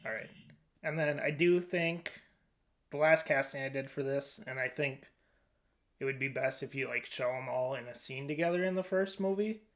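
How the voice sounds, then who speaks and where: A man's voice: mid-range voice, normal speed, a bit bright. A man co-hosting a podcast in casual chat, informal and often thinking aloud as he goes. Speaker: podcast co-host. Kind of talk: casual chat about movie casting.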